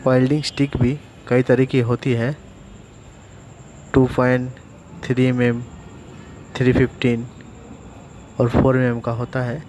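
A man in a workshop, calmly explaining his welding work step by step in a casual how-to voice-over. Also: medium pitch, measured pace, pauses often, slight background noise. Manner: plain, steady, explains step by step. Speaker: welder demonstrating a technique.

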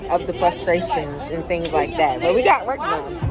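A young woman vlogs in a tired, raspy voice, chatty and full of slang. A loud crowd roars behind her. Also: lowish voice, big pitch swings, normal speed, over loud music. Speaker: vlogger. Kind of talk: casual vlog chat.

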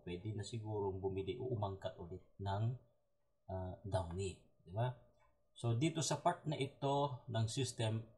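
Slow, low-voiced man explaining software. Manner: unhurried, explanatory, frequent fillers and tag questions. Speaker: software tutorial presenter. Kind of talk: casual screen-recorded software walkthrough.

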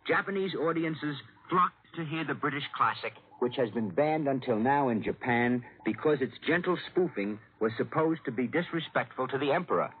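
A man's voice: mid-range voice, normal speed, hardly any pauses, a little hiss or hum. A man telling a scripted human-interest news report in 1940s radio style, on a tinny old recording. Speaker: foreign news correspondent.

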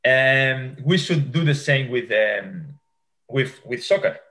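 A man's voice: mid-range voice, lively intonation, bright, forceful tone. A man in his forties from Spain, with a Spanish accent, answering interview questions as a futsal coach over a video call, often restarting his sentences. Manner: halting, with many restarts and fillers. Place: home room.

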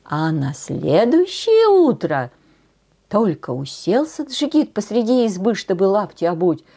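An older woman telling a folk tale expressively, in an unhurried, folksy way with homely diminutives. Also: mid-range voice, sing-song, mellow, husky. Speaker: storyteller on a channel. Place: small decorated room indoors.

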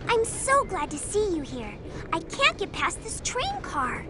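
A woman's voice: very high voice, huge pitch swings, a little slow, exaggerated voice. A woman voicing a timid, cautious child in a video game, plaintive and hesitant. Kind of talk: video game cutscene acting. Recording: noisy train ambience behind.

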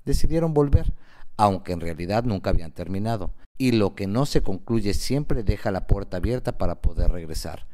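A man's voice: on the low side, animated, moderate pace, mellow. A man narrating a scripted radio novela in a dramatic storytelling style.

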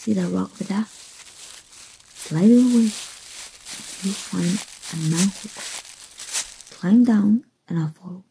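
A woman from Mexico playing a kind undercover rebel rescuer in an ASMR roleplay, with folksy, hushed speech and a soft, breathy voice. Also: medium pitch, slow pace, pauses often, slight background noise, poor audio. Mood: guarded, friendly. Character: kind human rescuer, gruff-talking, reassuring undercover rebel.

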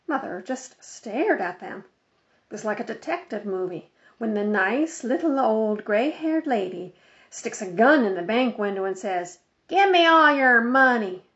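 A woman reading a children's book aloud as an expressive storyteller, on a slightly dull recording. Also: mid-range voice, a little slow. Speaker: audiobook reader.

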